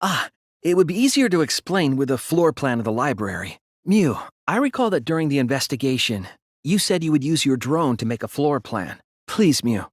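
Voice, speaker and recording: A man voicing an earnest, soft-spoken and hesitant teenage boy detective in a dubbed video game, explaining things carefully. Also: medium pitch, very animated, normal speed, dry recording, clean studio sound. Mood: earnest, deductive.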